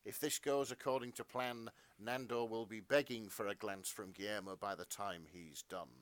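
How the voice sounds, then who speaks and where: A man's voice: lowish voice, fairly even intonation, normal speed, dry recording. A man co-hosting a podcast, narrating fan fiction melodramatically with casual asides.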